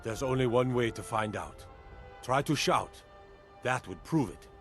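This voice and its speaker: Music plays clearly behind an awed male guard in a fantasy game. He has a mid-pitched voice and speaks reverently, like someone recalling old legends. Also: a little slow, a bit bright. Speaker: game character. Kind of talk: dramatic fantasy video game acting.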